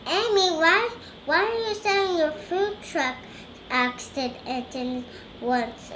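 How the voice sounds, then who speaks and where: A young girl reading a children's storybook aloud, halting and stumbling over words. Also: extremely high pitch, a bit bright, slight background noise. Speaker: young reader learning to read aloud.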